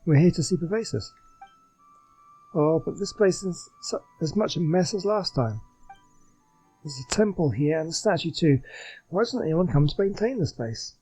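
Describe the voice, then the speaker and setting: A man voicing a small, excitable, food-loving female fairy companion while reading game dialogue aloud, exclaiming in a childlike way. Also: fairly high pitch, normal speed, long pauses, slightly rough, faint music behind, rough recording. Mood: indignant.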